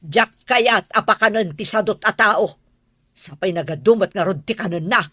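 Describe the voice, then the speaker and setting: A woman's voice: mid-range voice, normal speed, sharp tone, slightly rough, dry recording. A woman with an Ilocano accent playing a stern, exasperated but caring middle-aged mother in a radio drama.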